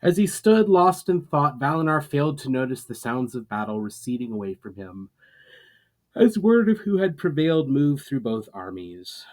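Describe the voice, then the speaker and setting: A man reading a fantasy story aloud as dramatic narration, in a measured, solemn manner with emphatic phrasing. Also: mid-range voice, big pitch swings, darkish tone. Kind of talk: dramatic audiobook-style narration.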